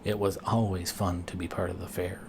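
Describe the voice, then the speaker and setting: A man reading a children's picture book aloud, expressively and with deliberate pauses. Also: sits low, level tone, noisy background. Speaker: storybook reader and dad.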